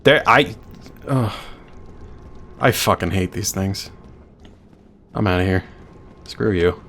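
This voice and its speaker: A man with a low, deep voice, talking through a game on a live stream. His chatter is loose and unscripted, full of offhand swearing and half-finished thoughts. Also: slow. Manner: chatty, swears freely, thinks out loud. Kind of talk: casual live-stream chatter while playing.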